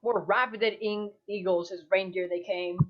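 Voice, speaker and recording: A woman's voice: medium pitch, fairly even intonation, moderate pace, a little hiss or hum, lightly acted. A young woman acting in a radio play as a parent recounting a Christmas Eve visit with dramatic wonder, over a video call. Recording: dull video call audio.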